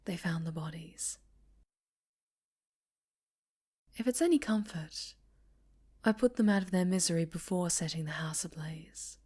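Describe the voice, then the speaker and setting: A woman performs an obsessively doting dark superheroine for an ASMR roleplay. She speaks very slowly in a lowish, breathy voice that often sinks into a whisper, coaxing and tender with menace underneath. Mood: coldly menacing. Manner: slow, sweetly menacing, coaxing. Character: sinister possessive female superhero villain, obsessively doting, menacing.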